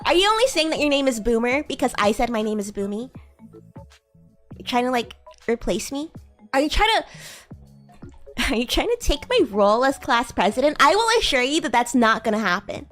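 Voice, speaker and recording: Woman playing a high-pitched bratty demon schoolgirl. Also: very animated, fairly bright tone, faint music behind. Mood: indignant, smug. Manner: teasing, condescending, giggly, halting improvisation. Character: bratty, haughty young female demon class president. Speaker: VTuber streamer playing a roleplay character. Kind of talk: improvised in-character livestream roleplay.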